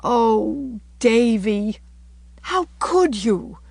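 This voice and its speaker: Storybook young schoolmistress, as a woman reader plays her: tender, reproachful, proper diction, very expressive melody, closely recorded. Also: mid-range voice, a little slow, no echo. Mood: sorrowful. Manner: tender, reproachful, careful correct speech. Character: gentle, sorrowful young schoolteacher woman, morally earnest.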